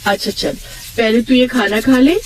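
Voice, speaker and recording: A woman voicing a practical, indulgent young elder sister who gently chides in a children's moral story. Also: fairly high pitch, fairly even intonation, normal speed, natural voice, rough recording.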